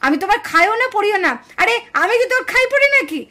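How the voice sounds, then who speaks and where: A woman's voice: very high-pitched, expressive, fast talker, sharp tone, exaggerated voice. A woman voicing a quarrelsome, indignant village daughter-in-law in a puppet cartoon family drama. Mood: angry, defiant. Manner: scolding, indignant, exclamatory.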